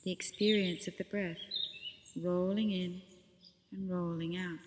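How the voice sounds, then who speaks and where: A woman leading a guided body scan meditation as a teacher, speaking gently and unhurriedly with pauses between phrases. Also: on the low side, steady tone, big-room sound, noisy background.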